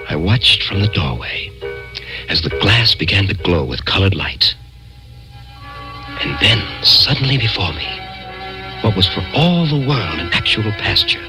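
An actor playing an earnest, bewildered man telling his uncanny adventure in an old-time radio drama, dramatic and halting when nervous. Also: rumbling low, rough recording. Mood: awed, suspenseful. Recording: old-time radio recording with music behind.

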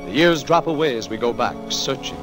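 A man's voice: mid-range voice, expressive, a little fast, over music, natural voice. An American man narrating a 1940s radio drama as its host, in a dramatic, declamatory scripted style. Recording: old 1940s radio broadcast.

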